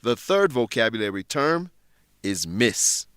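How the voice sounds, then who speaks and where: A man narrating a scripted English lesson in a deliberate, instructive way. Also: mid-range voice, sing-song, a bit bright, smooth, no room sound, studio quality.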